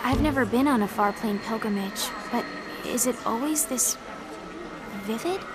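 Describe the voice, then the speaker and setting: Brash, higher-voiced young woman played by an actress. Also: normal speed, music in the background, close to an everyday voice. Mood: curious, pressing. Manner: blunt, sardonic. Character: brash, sarcastic, impatient young woman in fantasy world. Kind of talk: video game audio drama acting.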